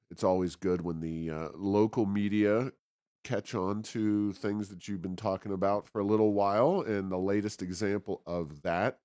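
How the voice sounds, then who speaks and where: Low-voiced man in halting political talk. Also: darkish tone, smooth, no echo, studio quality. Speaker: talk show co-host, think tank president. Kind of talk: conversational political commentary.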